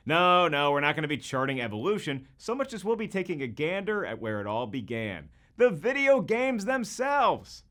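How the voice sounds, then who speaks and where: A man hosting a show, delivering scripted entertainment commentary in an animated, emphatic manner. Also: a little high, normal speed, hardly any pauses. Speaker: show host.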